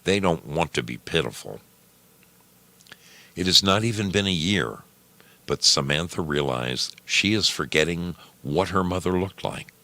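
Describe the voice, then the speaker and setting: A man narrating a story expressively, with measured, dramatic phrasing. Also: deep voice, animated, pushed and bright, no room sound.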